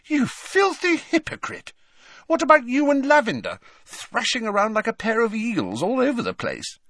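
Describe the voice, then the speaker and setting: A man's voice: extremely high pitch, huge pitch swings, moderate pace, a bit bright, no echo, clean studio sound, pitched above the performer's own voice, full character voice. A man voicing a fiery, scornful teenage girl in an audiobook, scoffing and indignant. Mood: outraged.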